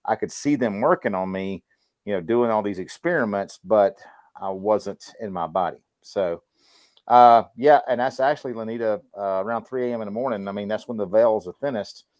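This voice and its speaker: A man's voice: on the low side, normal speed, darkish tone, no echo. A middle-aged American man co-hosting a casual livestream talk, laid-back and colloquial, repeating words for emphasis. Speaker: podcast co-host.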